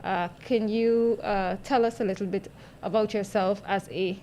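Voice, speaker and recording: A woman's voice: mid-range voice, fairly even intonation, moderate pace, dark tone. A woman hosting and interviewing guests on a radio health show. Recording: faint background noise.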